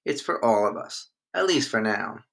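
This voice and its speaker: A man as a patient, bright-voiced storybook dad. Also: mid-range voice, expressive, moderate pace, vocal fry. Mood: gentle, encouraging. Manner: encouraging, explanatory. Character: kindly, patient young dad teaching his children.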